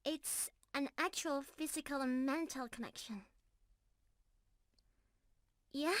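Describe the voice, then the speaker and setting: A woman's voice: sits high, normal speed, no echo, natural voice. A woman voicing a young, sweet, adoring mermaid sweetheart in an emotional, affectionate ASMR romance roleplay. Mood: tender, reassuring. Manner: affectionate, breathy sighs and giggles, emotional.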